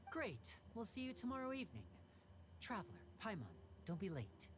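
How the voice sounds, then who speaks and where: A woman playing a composed, caring young male magician in an anime game, measured and gently chiding. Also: mid-range voice, wildly swooping pitch, background noise. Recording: music playing behind the voice.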